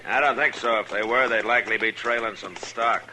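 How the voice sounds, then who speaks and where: An actor playing a steady, laconic frontier lawman with an American accent, measured and dry, in an old-time radio western. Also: on the low side. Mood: steady, decisive. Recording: old radio recording with faint noise.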